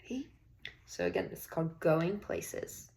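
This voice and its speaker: A woman's voice: lowish voice, moderate pace, gravelly, noisy background. A woman teacher reading a picture book aloud to young children, expressively and with encouraging questions and praise. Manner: expressive storybook delivery, drawing out key words. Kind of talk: interactive classroom read-aloud with questions and praise.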